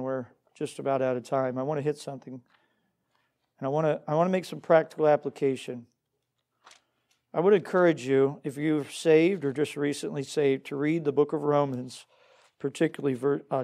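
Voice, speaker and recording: Higher-voiced man preaching an earnest sermon. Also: mellow. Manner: earnest, exhorting, poses questions to listeners. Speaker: pastor preaching to a congregation. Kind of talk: Baptist sermon with scripture reading.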